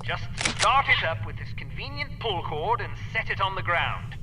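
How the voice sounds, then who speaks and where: A man's voice: high-pitched, big pitch swings, moderate pace, heavy background noise. A man playing a courteous, refined gadget inventor in a video game, presenting spy devices with formal precision. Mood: courteous, instructive. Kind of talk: video game cutscene demonstration. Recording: distant voice under loud music.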